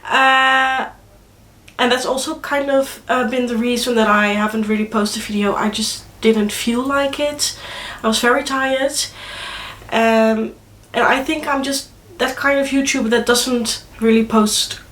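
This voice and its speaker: A woman's voice: fairly high pitch, steady tone, unhurried, fairly bright tone, reverberant. Young Dutch-accented woman with a cold. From Netherlands. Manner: chatty, hesitant, many fillers and restarts. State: congested with a cold. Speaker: vlogger. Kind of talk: casual chat to camera.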